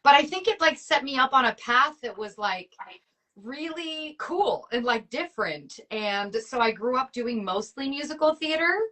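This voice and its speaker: Bright, chatty woman from Canada, native French speaker. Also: sits high, lively intonation, normal speed. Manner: chatty, peppered with "like", laughs often. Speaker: actress guest. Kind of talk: casual chat.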